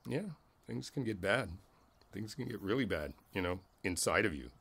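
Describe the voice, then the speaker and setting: A man playing a kindly older neighbor in an ASMR roleplay, reflective and candid, talking in a halting, conversational way and repeating his phrases. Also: lowish voice, animated, creaky, fairly bright tone, husky, heavily acted. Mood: somber, candid.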